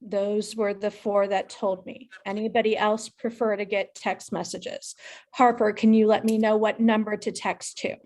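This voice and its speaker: A woman director giving notes on a video call, halting with many fillers. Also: medium pitch, little pitch movement, darkish tone. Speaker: director and writer.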